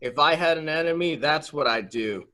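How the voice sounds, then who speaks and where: A man's voice: on the high side, moderate pace, pushed and bright. Childlike, guileless young man played by an actor. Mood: boastful, eager. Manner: guileless, rambling, repetitive. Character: childlike, simple, excitable young man fearing being locked up. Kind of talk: dramatic reading of murder mystery.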